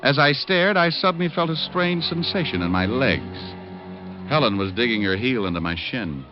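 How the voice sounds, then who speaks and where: A male actor as a cocky private detective from a vintage radio drama, tossing off wry, deadpan lines. His mid-range voice moves around freely in pitch. The sound is muffled and old, with music around it. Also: normal speed, lightly acted. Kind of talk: 1940s radio detective acting and narration.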